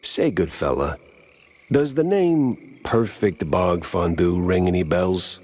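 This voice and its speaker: Deep, hardboiled mouse detective. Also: male voice, takes it slowly, full character voice. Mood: wry, cool. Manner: deadpan, tough, sardonic. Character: hardboiled, wry male mouse private detective. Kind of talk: noir cartoon video game acting. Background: loud music.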